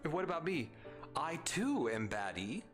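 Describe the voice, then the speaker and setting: A man's voice: on the high side, fairly bright tone. A man with an Arizona accent hosting a live stream, chatting casually with viewers in a playful, teasing, rambling way.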